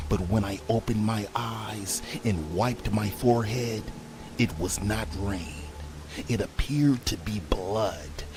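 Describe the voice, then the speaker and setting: A man reading a scripted first-person horror story in a hushed, often breathy delivery. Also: lowish voice, heavy background noise. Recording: prominent background music under voice. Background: music.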